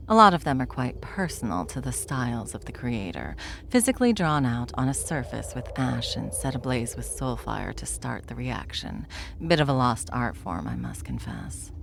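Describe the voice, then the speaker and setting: A woman's voice: rumbling low, a little hiss or hum, full character voice. A woman playing an ancient, deathless spellcaster, wry and self-assured, lecturing theatrically in a fantasy roleplay audio drama. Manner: theatrical, wry, leisurely lecturing with breathy asides.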